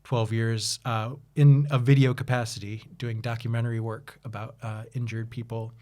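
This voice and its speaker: A man's voice: mid-range voice, level tone, moderate pace, darkish tone. Man, 30s, chatty podcast guest, rambling storyteller. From United States. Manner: chatty, rambling with many restarts and fillers, laughs easily. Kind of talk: casual interview chat.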